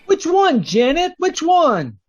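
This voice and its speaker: A man's voice: very high-pitched, wildly swooping pitch, slow pace, full character voice. An American man playing a cocky small-time fur thief with slangy tough talk in 1940s radio crime style. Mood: curious. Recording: dull, lo-fi video-call sound.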